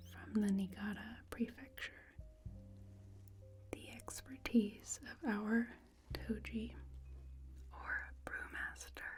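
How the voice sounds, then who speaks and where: A woman whispers slowly and softly, playing an attentive upscale bartender in an ASMR roleplay. Her delivery is gentle and personal, kept very even in pitch, as if serving a single guest. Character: soft-spoken, attentive female bartender at a high-end bar. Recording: close whispered voice over clear music. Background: music.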